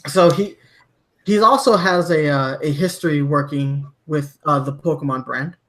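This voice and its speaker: A man from Germany hosting a podcast, discussing news conversationally with halting, repeated word starts. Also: fairly high pitch, a bit bright. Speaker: podcast host.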